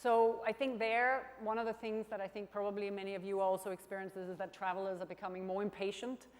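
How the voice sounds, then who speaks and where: A middle-aged woman from Denmark, an insurance executive speaking on stage, with thoughtful, slightly halting phrasing. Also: mid-range voice, little pitch movement. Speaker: panel guest, insurance executive. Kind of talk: onstage conversation. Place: conference stage. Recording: reverberant conference hall.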